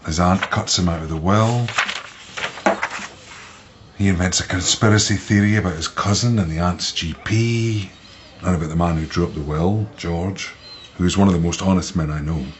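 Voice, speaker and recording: An actor playing a serious, methodical investigator, deliberately summing up a case in a radio drama. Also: male voice, sits low, a little hiss or hum. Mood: sceptical. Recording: dull sound with background ambience.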